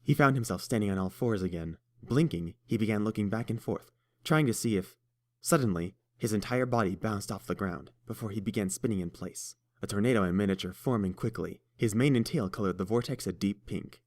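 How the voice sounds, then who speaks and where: A man narrating a fan-fiction storybook in a steady, measured way. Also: fairly low pitch. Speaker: audiobook reader.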